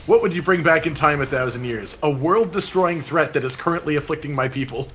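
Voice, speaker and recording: A man's voice: on the high side, fairly quick, fairly bright tone, slight background noise. A man co-hosting casual gameplay commentary, wry and quibbling, given to understatement. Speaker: co-host of a gaming video.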